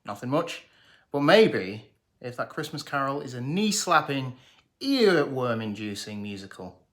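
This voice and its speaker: A man's voice: medium pitch, sing-song, unhurried, fairly bright tone. A man, presenting a scripted, jokey film review. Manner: jokey, sarcastic, enthusiastic. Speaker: movie reviewer.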